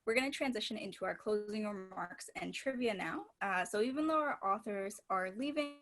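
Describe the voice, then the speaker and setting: Woman, event host, formal, polite, prepared remarks, medium pitch. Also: lively intonation, fairly quick, fairly bright tone. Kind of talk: prepared closing announcements.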